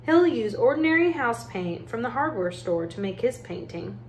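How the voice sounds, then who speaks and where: A woman's voice: a little high, big pitch swings, a little mellow. Woman doing a picture book read aloud, takes her time, bit of room echo and faint noise. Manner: measured, deliberate phrasing with pauses. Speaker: art educator reading a picture book aloud. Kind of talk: storybook read-aloud narration.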